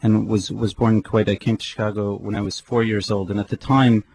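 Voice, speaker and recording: A man hosts a radio talk show in a fairly low voice. He talks in a loose, hesitant way, restarting sentences and using fillers often. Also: flat delivery, a little fast, a little mellow, breathy edge. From United States. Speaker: host.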